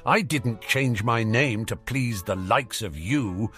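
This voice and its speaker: A man voicing a cold, hateful young dark wizard who speaks with menace and spite. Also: medium pitch, expressive, slow pace, soft background music. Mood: spiteful.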